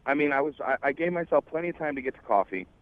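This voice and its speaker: Male radio caller, quick pace, rambling and agitated, casual, slightly dull phone sound. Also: a little high, animated, no room sound. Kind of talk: casual conversational storytelling. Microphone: telephone.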